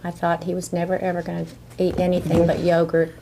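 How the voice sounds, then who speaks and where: A woman's voice: fairly low pitch, monotone, a little fast. A middle-aged woman talking conversationally in an interview in a room at home. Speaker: wife of interviewee. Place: room in a house. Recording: clearly noisy room sound.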